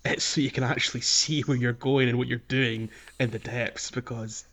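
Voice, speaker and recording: Male co-host with an average-pitched voice, conversational, piecing thoughts together aloud in a loose gaming chat. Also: steady tone, moderate pace, a bit bright. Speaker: podcast co-host. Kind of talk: casual gaming discussion.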